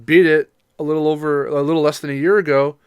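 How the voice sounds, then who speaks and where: A man chats as a podcast co-host in an informal, keen style. His voice sits a little high for a man, and the sound is dry, with very little room to it. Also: fairly even intonation, quick pace, smooth, no echo. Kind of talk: casual chat about games and movies.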